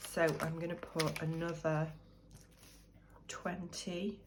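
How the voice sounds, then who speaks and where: A woman's voice: low voice, flat delivery, takes it slowly, noisy background. A British woman with a cold, chatting and thinking aloud as she sorts cash into budget envelopes. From United Kingdom. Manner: chatty, thinks aloud while counting money. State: croaky from a cold. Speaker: budgeting vlogger. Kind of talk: casual chat while cash stuffing.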